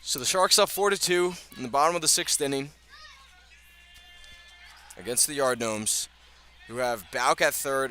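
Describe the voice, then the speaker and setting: A man doing live radio baseball play-by-play, speaking in measured short phrases with pauses between them. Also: mid-range voice, pushed and bright. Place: outdoors at a ballpark.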